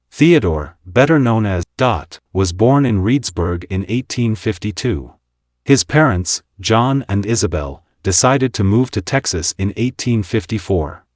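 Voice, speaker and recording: A man with a fairly low voice narrates history as a scripted story. The sound is dry, with hardly any room around the voice. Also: no echo.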